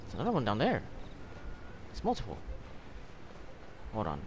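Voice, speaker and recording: A man's voice: lowish voice, huge pitch swings, slow pace. A man giving casual gameplay commentary in short, laid-back muttered remarks, on a noisy recording. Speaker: gamer, video creator. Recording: very noisy, game sounds behind.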